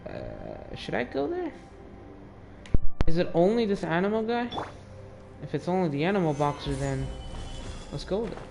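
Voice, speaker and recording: A man's voice: sits high, huge pitch swings, moderate pace, vocal fry, pauses often, music in the background. A teenage boy giving casual, muttering, sweary commentary while playing a video game. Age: teens. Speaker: gamer commenting on gameplay. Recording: noisy home gaming recording.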